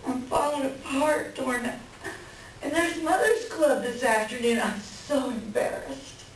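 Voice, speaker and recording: A woman's voice: sits high, unhurried. A woman playing an upset, bitter wife venting about her cheating husband in a staged play reading. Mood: distraught, embarrassed. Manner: agitated, colloquial venting. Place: library room reading before an audience. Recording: very echoey room, distant mic, faint noise.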